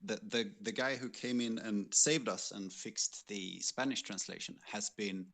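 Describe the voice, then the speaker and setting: A man's voice: fairly low pitch, steady tone, unhurried, vocal fry, fairly bright tone. A man from a game team, talking casually on a livestream.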